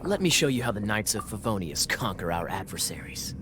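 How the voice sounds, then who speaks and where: A man playing a suave, teasing young knight captain in a video game, with a sly, smooth-talking, theatrical delivery. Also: lowish voice, big pitch swings, a little fast. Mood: calm, probing.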